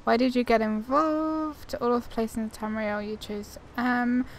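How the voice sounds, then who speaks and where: A woman's voice: on the high side, fairly even intonation, unhurried, a little hiss or hum. A woman giving casual commentary as she plays a video game. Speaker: gamer, video host.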